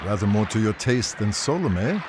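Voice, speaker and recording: A courteous adventurer's companion from a fantasy game. He speaks with wry, articulate politeness and a lively, swooping intonation. There is clear noise in the recording. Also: lowish voice, normal speed. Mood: wry, teasing. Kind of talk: video game cutscene dialogue. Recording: noisy game audio.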